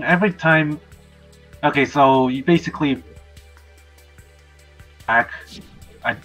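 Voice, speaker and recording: A man's voice: medium pitch, a bit bright, rough recording. A man in casual chat on a game stream, talking in a rambling, explanatory way.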